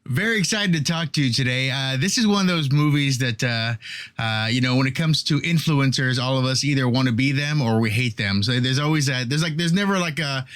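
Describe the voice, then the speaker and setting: A man's voice: mid-range voice, fairly quick, fairly bright tone. A male interviewer with an ordinary mid-range voice. He asks long, meandering questions, with frequent restarts and "you know"s. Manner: rambling questions with fillers and restarts. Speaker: interviewer host.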